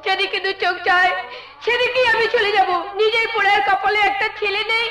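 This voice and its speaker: An actress playing a caring, strong-willed wife and sister-in-law in emotional Bengali folk theatre. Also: female voice, very high voice, fairly even intonation, brisk. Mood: indignant, hurt. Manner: emotional, declamatory. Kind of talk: Bengali folk theatre (jatra) stage acting.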